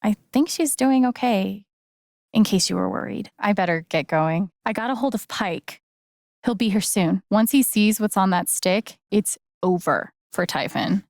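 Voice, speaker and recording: A woman's voice: medium pitch, measured pace, gravelly, darkish tone, no room sound, studio quality. An actress playing an earnest, caring and determined young woman in naturalistic, conversational video game dialogue. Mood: gentle, casual, then confident. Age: young adult.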